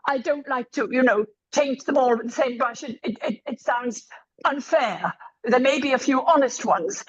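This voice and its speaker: A woman with a croaky voice giving emphatic opinions in an online meeting, repeating her points for effect. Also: sits high, normal speed. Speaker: meeting participant. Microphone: video call. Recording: dull lo-fi video call audio.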